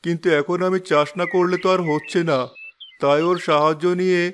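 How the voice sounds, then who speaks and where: A man voicing a humble, trusting blind village father in an animated moral story, speaking earnestly. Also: a little high, a little fast, slight background noise, close to an everyday voice. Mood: resolved.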